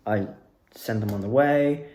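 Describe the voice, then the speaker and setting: A man's voice: on the low side, measured pace, slight background noise. A young man telling a story in a casual self-interview style, acting out the lines he quotes as he goes. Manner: animated retelling, acts out quoted lines. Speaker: amateur actor recounting own performance. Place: indoors at home. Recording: echoey room.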